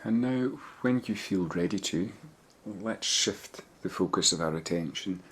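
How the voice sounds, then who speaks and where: A man leading a guided body scan meditation, giving gentle, measured instructions with pauses. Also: low voice, a little hiss or hum.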